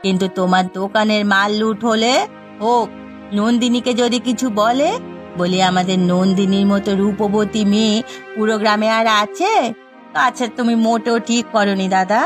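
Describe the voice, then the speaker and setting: A woman's voice: mid-range voice, steady tone, moderate pace, lightly acted. A woman playing an outspoken, supportive village matchmaker in a cartoon, coaxing and insistent in a melodramatic way. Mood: scolding. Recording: music behind the voice.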